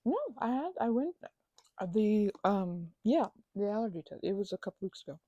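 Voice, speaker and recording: Woman, ASMR roleplay, rambling, hesitant, stammering, husky, mellow, close mic. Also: medium pitch, expressive, brisk, no room sound, dull audio. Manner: rambling and hesitant, with many fillers and stammered restarts; drops into soft whispers at times. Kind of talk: ASMR roleplay, speaking to the listener as a roommate.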